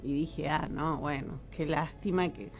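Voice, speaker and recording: A woman's voice: low-pitched, fairly even intonation, measured pace. A woman with an Argentine accent, an actress and writer, talking casually as a radio guest in a rambling, hesitant way. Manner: rambling, conversational, hesitant with repeated words.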